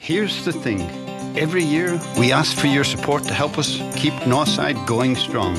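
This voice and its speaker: A man's voice: fairly high pitch, normal speed, music in the background. A man reading scripted radio promos and sponsor ads in a friendly, coaxing manner. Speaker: radio announcer.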